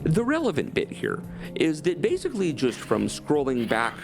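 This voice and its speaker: A man's voice: on the high side, wildly swooping pitch, moderate pace, creaky. A man narrating a commentary video as an essayist, laying out his account of events. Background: music.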